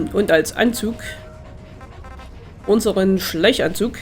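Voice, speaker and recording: A man's voice: high-pitched, slow, darkish tone, soft background music. A man with a slightly congested, nasal voice from a cold, giving casual, hesitant Let's Play commentary as he thinks aloud.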